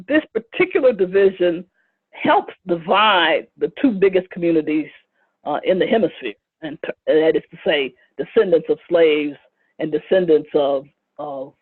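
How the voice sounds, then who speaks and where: Husky-voiced woman lecturing. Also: low-pitched, dry recording. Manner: measured, with frequent fillers and brief asides. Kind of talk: recorded lecture with slides. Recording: tinny phone-like lo-fi recording.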